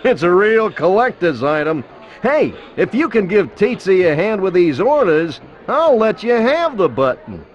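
A helpful bug from a cartoon game, chatting in a folksy, high-pitched voice. The audio is slightly dull. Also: male voice, very animated, a little slow. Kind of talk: cartoon game character instructions.

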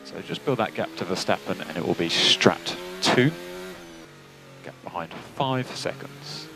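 A man working as a race engineer, giving calm, clipped technical instructions over team radio. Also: sits low, a little slow, vocal fry. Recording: noisy team radio, engine noise behind.

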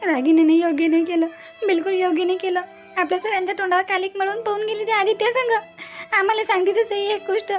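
A distressed bride's mother, acted by a woman with an extremely high voice over faint music. She is apologetic and disbelieving, pleading and repeating her laments in a Varhadi Marathi accent, in cartoon family-drama style. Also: level tone, fast. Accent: Varhadi Marathi, Vidarbha.

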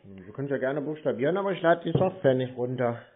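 A man's voice: medium pitch, animated, fast talker, a little hiss or hum. Blunt man on a tinny phone line. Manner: blunt, dismissive, short replies. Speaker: scam-baiter posing as a customer on a call. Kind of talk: casual phone conversation.

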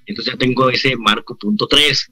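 Man, 40s, Mexican accent, bright timbre, earnest, video call. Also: medium pitch, normal speed. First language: Spanish. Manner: earnest, reflective, many restarts. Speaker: actor guest. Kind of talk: casual interview over video call. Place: indoor room.

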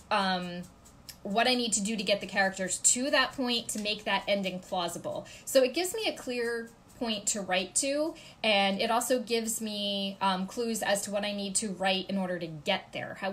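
A woman in her thirties with a congested voice, giving casual writing tips to camera from her home office, chatty and full of ums and quick check-ins. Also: mid-range voice, fairly bright tone, a little hiss or hum. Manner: chatty, gestures a lot, many ums and "okay?" check-ins. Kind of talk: casual instructional vlog to camera. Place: home office with ceiling fan, indoors.

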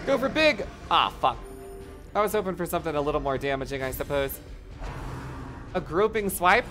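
An excitable game streamer whose voice is turning hoarse, chatting with viewers and swearing freely while reacting loudly to the game. The voice is bright and lively, swinging up and down a lot. Faint game music plays behind. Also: mid-range voice. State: voice getting hoarse. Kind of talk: casual live-stream commentary.

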